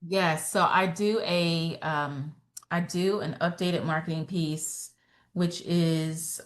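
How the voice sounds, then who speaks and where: A woman real estate agent and trainer answering podcast interview questions in a steady, self-assured way, explaining step by step with fillers. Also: fairly low pitch. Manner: firm and self-assured about her own voice.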